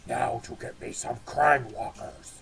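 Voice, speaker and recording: A man's voice: medium pitch, measured pace, gravelly, a bit bright, breathy edge, faint music behind, pitched below the performer's own voice, exaggerated voice. A man voicing a menacing alien mercenary villain who declares threats in an ominous way, from a cartoon story. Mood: scheming, smug.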